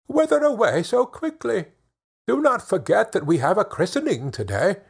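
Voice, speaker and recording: Storybook sexton, voiced by a male narrator: astonished, fussy, calling out high with swooping intonation. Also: very animated, normal speed, creaky, breathy edge, exaggerated voice. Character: astonished, fussing church sexton calling to his master.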